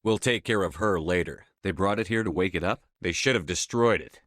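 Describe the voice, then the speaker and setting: A low-voiced, commanding military officer. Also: male voice, a little fast, fairly bright tone, dry recording, clean studio sound. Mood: controlled, businesslike. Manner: clipped, authoritative military delivery. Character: steadfast male space-marine commander, tough and decisive. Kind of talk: video game dialogue lines.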